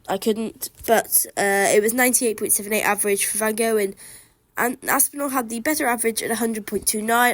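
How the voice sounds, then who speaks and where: A woman's voice: mid-range voice, no echo. A woman hosting a solo podcast outdoors, chatting eagerly through a cold that leaves her bunged up. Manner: chatty, enthusiastic darts fan. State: congested from a cold. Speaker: podcast host.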